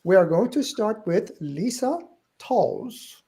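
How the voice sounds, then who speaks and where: A man's voice: sits high, expressive, takes it slowly, mellow. A middle-aged man with a Turkish accent hosting a live book-sales stream, chatty and joking, correcting himself as he goes. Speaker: show host and company founder. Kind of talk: live-stream show introduction.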